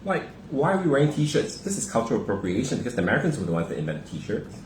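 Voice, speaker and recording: Animated Singaporean man telling stories. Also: mid-range voice, quick pace. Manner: animated, teasing storyteller. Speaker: host. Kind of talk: casual podcast chat. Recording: echoey room, faint hum.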